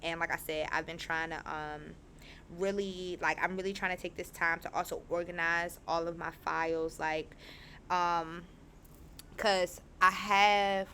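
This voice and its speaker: Slightly raspy, chatty young woman. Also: lowish voice, slight background noise. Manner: chatty, frank, animated storytelling. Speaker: podcast host. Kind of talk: casual candid monologue.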